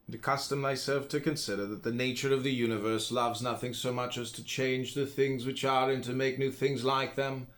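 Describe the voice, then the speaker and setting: A man reads philosophy aloud as a narrator, phrasing it deliberately and emphatically with pauses. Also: mid-range voice. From United States. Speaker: audiobook reader.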